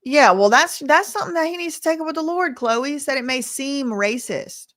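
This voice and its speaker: Woman, American accent, slightly high voice, dry close sound, sassy colloquial live stream chat. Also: expressive, brisk, a bit bright, dry recording. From Louisiana, United States. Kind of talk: casual live chat reading and answering viewer messages.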